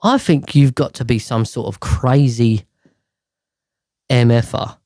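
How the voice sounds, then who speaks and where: A man's voice: mid-range voice, takes it slowly, warm tone, no echo, studio quality. A man ranting casually to camera, profane and exasperated, rambling with sighs and restarts.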